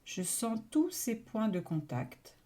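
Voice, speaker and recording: Slow, mellow woman guiding meditation. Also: mid-range voice. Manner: calm, soothing, long pauses between short phrases. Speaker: yoga nidra meditation guide. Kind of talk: guided relaxation meditation.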